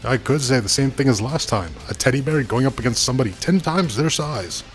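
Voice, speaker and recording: A man plays a male play-by-play caller for cartoon wrestling. His announcer-style voice is middling in pitch, with light music underneath. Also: lively intonation, brisk, natural voice. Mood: engaged. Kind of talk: wrestling commentary.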